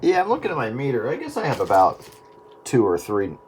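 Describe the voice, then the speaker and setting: A man talks casually with a folksy, slightly hesitant delivery, like an amateur operator in a relaxed on-air conversation. His voice sits in a middle register and comes over a two-way radio. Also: medium pitch, a little fast, heavy background noise. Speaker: amateur radio operator in a round-table chat.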